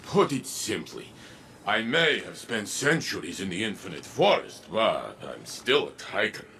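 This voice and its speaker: Veteran warrior role for a game, low voice, unhurried. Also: male voice, big pitch swings, gravelly, sharp tone, a little hiss or hum, heavily acted. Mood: steady. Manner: measured, solemn. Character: weathered, steady veteran male warrior.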